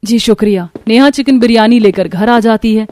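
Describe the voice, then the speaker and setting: High-voiced woman narrating a cartoon story. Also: moderate pace, a little mellow, soft background music.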